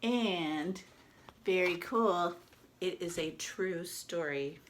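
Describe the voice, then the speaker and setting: A woman's voice: lowish voice, very slow, a little hiss or hum. A woman teacher doing a read-aloud, chatting casually and explaining things simply to children. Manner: explains simply to young listeners. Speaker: teacher reading a picture book aloud.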